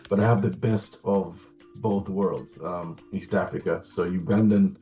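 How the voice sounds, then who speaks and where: Deep, mellow Kenyan man. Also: steady tone, unhurried, soft background music. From Nairobi, Kenya. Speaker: interview guest, community leader. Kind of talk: conversational interview answers.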